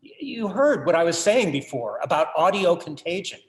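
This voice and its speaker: A man acting a brooding artist and obsessive collector in a stage play. His fairly high voice muses earnestly and wryly, like a theatrical monologue. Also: sing-song, moderate pace, poor audio. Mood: bitter, wry.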